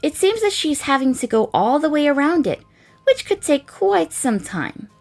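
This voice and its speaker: Woman as an animated, high-pitched young space crewmate. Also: normal speed, soft background music. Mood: upbeat, explaining. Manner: expressive, theatrical phrasing. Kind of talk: fan comic dub voice acting.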